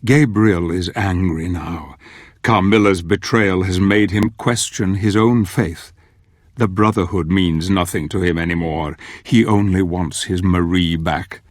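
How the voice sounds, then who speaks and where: Deep, grave fantasy storyteller. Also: male voice, dry recording, studio quality. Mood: solemn, brooding. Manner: grave, measured, theatrical. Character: grave, watchful old male narrator of dark fantasy. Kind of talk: solemn scripted game narration.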